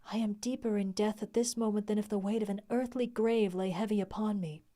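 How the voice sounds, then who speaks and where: A woman's voice: medium pitch, steady tone, fairly quick, warm tone, close to an everyday voice. A woman voicing a devoted young wife who speaks earnestly and solemnly of her own death. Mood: solemn pleading.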